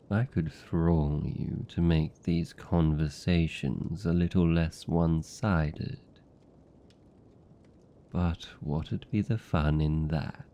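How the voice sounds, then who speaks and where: A British man playing a seductive, possessive vampire captor in an intimate fantasy ASMR roleplay, teasing and doting in a dark, gravelly murmur. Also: extremely low pitch, exaggerated voice. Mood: wry, amused. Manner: slow, teasing, velvety endearments.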